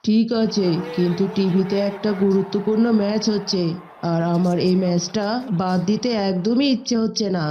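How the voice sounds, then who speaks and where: Casting: woman as lazy, obstinate cartoon boy, medium female pitch, slightly muffled recording. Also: steady tone, moderate pace, a little hiss or hum, close to an everyday voice. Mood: defiant. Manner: stubborn, questioning. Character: stubborn, lazy boy who loves TV and cricket. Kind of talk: dubbed Islamic moral cartoon dialogue. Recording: dull, lo-fi sound.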